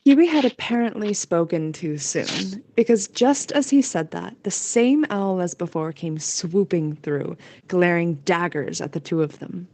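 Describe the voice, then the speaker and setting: A woman's voice: fairly low pitch, measured pace, mellow, slight background noise. A woman narrating a scripted fantasy audio story in a storytelling voice.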